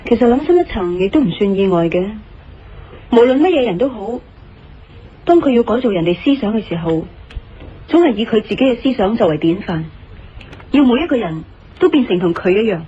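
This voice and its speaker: An actress playing a sharp, confident woman who reasons things out deliberately in a Cantonese audio drama, with a Hong Kong accent. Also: medium pitch, big pitch swings, breathy edge. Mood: scornful, firm. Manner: deliberate, didactic, assured. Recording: slightly muffled radio drama, music underneath.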